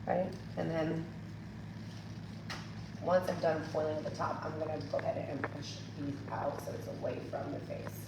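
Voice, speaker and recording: A woman's voice: low voice, fairly even intonation, normal speed. A woman hair colorist teaching a coloring technique in a chatty tutorial, her voice still recovering from being lost. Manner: chatty, addresses viewers as "you guys". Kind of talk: hands-on tutorial demonstration. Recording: echoey room, distant mic, noisy.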